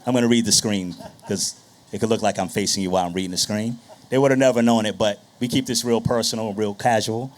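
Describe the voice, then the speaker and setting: A man's voice: fairly low pitch, fairly even intonation, fast talker, slight background noise. A man speaking informally and conversationally to an audience as a panel moderator on stage. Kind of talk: casual stage introduction of a panel. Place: on stage at a live panel session.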